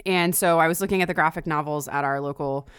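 A woman's voice: deep voice, steady tone, fast, clean tone, no echo, studio quality. A woman chatting casually and talkatively as a guest co-host on a podcast, sprinkling her speech with "like". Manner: chatty, laughs while talking, often says "like".

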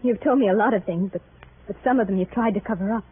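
An actress playing a proud, bitter young widow in old-time radio melodrama, halting, earnest and dramatic. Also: female voice, mid-range voice, close to an everyday voice. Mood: shaken hurt. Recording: noisy vintage radio, narrow phone-like band.

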